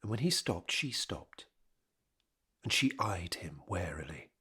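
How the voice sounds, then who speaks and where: A man narrating a scripted period drama in a measured, rhetorical manner. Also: low-pitched, big pitch swings, pushed and bright, husky.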